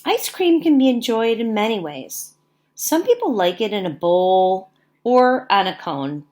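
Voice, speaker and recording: A woman teacher reading aloud to young children in simple, deliberate phrasing, pausing to explain as she goes. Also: mid-range voice, big pitch swings, darkish tone. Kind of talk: read-aloud lesson with explanatory asides.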